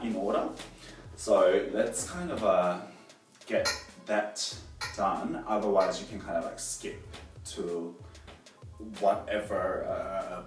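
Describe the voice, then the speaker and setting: A young Korean-born man talks to his camera in a casual, rambling way, filling gaps with ums and uhs. His voice sits low and lands from a little way off the mic. The room rings with a strong echo, and soft music plays underneath. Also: far from the mic. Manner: rambling, self-conscious, many fillers. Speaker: DIY vlogger. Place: echoey room alone with a camera.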